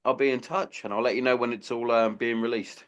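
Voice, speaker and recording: A man's voice: mid-range voice, steady tone, brisk, fairly bright tone. A man hosting a podcast in a casual, blunt and sweary chat. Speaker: podcast host.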